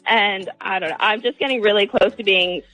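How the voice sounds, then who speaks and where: A woman's voice: mid-range voice, a little fast, a bit bright, soft background music, rough recording. A woman calling a radio show, talking casually and candidly in a hesitant, wordy way. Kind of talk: casual, candid relationship talk.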